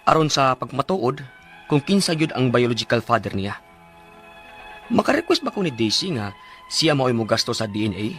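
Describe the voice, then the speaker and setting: A hurt, devoted Cebuano father in a radio drama. His pleading, earnest delivery swings widely in pitch, at a middling register. Music plays underneath. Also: a bit bright. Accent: Cebuano Filipino. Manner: emotional, pleading. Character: earnest, wounded family man and devoted father. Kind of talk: radio drama acting and first-person narration.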